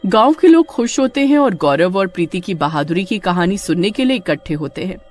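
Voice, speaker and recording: A woman narrates a scripted tale with dramatic delivery. Her mid-range voice moves in lively swings of pitch. Soft music plays behind her. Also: brisk. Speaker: story narrator. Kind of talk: scripted Hindi story narration.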